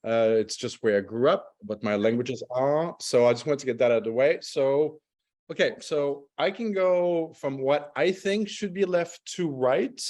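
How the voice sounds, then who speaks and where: A man's voice: mid-range voice, moderate pace, darkish tone. A middle-aged man from Montreal, a native French speaker whose accent has Australian and South African traces, leading a wine tasting over a video call with loose, chatty, self-correcting explanations. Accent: French Canadian with Australian and South African traces. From Montreal, Canada. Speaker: wine brand ambassador.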